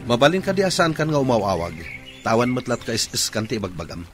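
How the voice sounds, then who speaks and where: A man's voice: mid-range voice, sing-song, fast talker, over music, natural voice. An actor playing an anguished, quarrelsome young father in a radio drama. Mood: bitter, angry.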